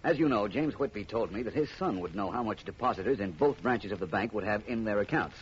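An actor playing a shrewd, confident gentleman detective in a vintage radio mystery, crisp and commanding. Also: on the low side, lively intonation, fast, close to an everyday voice. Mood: assured, explaining. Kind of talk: 1950s radio drama acting. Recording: muffled vintage radio recording, faint noise.

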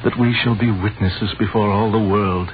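A man's voice: on the low side, fairly even intonation, moderate pace, close to an everyday voice. An actor playing a devout Greek wise man in a 1930s radio drama, declaiming solemnly in archaic biblical speech. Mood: prophetic. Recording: muffled, noisy 1930s radio recording.

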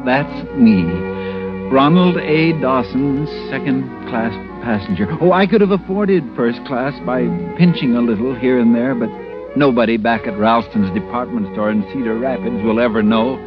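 An actor playing a timid, fussy, earnest store clerk in a 1940s radio drama. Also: male voice, mid-range voice, normal speed, lightly acted. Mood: self-satisfied, confiding. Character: timid, prim department-store drapery clerk narrating his cruise. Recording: muffled old radio recording with music behind.

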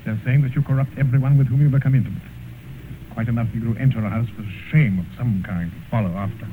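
An actor playing an earnest, moralizing gentleman painter, grave and pleading, in old radio drama style. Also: medium pitch, fast talker, narrow, tinny audio, natural voice. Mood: stern reproach. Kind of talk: 1950s radio drama acting. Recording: scratchy old radio recording, thin band, hiss.

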